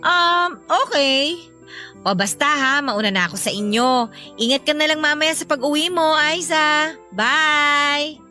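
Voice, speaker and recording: A woman's voice: high voice, unhurried, bright, forceful tone, soft background music. A woman acting an emphatic, theatrical female character in a Filipino radio drama. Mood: teasing, friendly. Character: indignant estranged wife, pleading fiercely; also teasing coworker.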